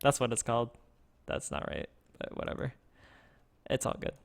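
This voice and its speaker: A man in the middle pitch range with a smooth, clear voice speaks close into a desk microphone. He streams a card game and thinks aloud, halting often with fillers and restarts. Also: sing-song. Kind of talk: casual gameplay streaming.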